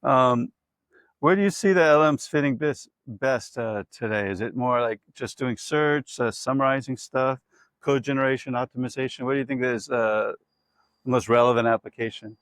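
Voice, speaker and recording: A man's voice: medium pitch, moderate pace, a bit bright, dry recording. A middle-aged man with an American accent, hosting an interview and asking long, curious questions while often affirming his guest. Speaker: podcast host. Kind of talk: conversational interview.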